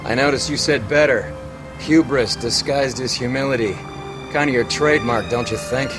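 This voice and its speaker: Man acting cynical American vice cop, mid-pitched, smug, needling, clipped slang, clear music behind, video game. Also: moderate pace, lightly acted.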